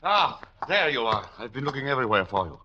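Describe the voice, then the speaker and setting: An actor playing a cold, menacing doctor in a 1940s radio drama, speaking formally, precisely and imperiously. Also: male voice, medium pitch, sing-song, fast, muffled recording, natural voice. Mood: cold, commanding. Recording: noisy, clicking 1940s radio recording.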